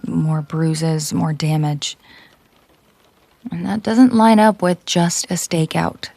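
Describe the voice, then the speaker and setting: A woman playing a possessive, coolly menacing mafia boss girlfriend in an intimate audio roleplay, teasing and commanding in a breathy, husky murmur. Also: low-pitched, takes it slowly, mellow, dry recording, exaggerated voice. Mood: protective, probing.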